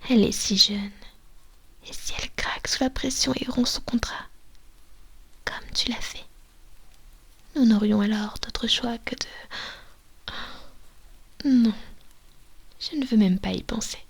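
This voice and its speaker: A woman voicing a manipulative female vampire mistress in an ASMR roleplay, talking in a slow, coaxing, breathy whisper into a binaural microphone. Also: fairly high pitch, fairly even intonation, full character voice. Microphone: binaural dummy-head mic.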